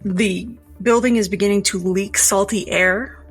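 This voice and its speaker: A woman's voice: mid-range voice, fairly even intonation, a little slow, breathy edge. A woman playing a tabletop role-playing game, telling her character's actions in the third person. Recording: background music under the voice.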